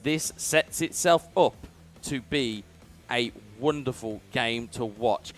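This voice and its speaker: A male esports commentator giving play-by-play commentary on a football video game, building up and booming on big chances. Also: slow pace, soft background music. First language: English.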